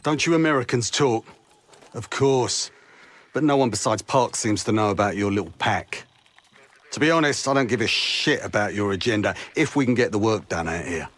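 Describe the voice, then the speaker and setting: Brusque British military commander. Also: male voice, on the low side, normal speed, a bit bright. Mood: brusque, dry. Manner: brusque, sardonic, clipped orders. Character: gruff British SAS commander in a war game. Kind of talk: video game cutscene acting.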